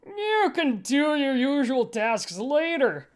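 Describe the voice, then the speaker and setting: A woman acts out a male sci-fi audiobook villain, an officer with cheerful cruelty. He cajoles and taunts with great self-importance, pitched a little above narration. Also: big pitch swings, slow, exaggerated voice. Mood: cajoling. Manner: pompous, cajoling.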